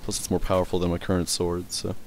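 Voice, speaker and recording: A man's voice: sits low, completely flat delivery, fast, a little hiss or hum. A man doing casual let's play commentary, rambling, swearing casually and stumbling over hard words as he reads. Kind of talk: casual gameplay commentary and reading in-game text aloud.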